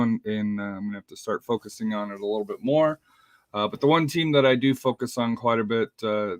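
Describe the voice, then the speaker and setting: A man's voice: mid-range voice, no room sound. A man, hosting a casual soccer talk show and rambling with lots of fillers. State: slightly raspy voice. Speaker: podcast host.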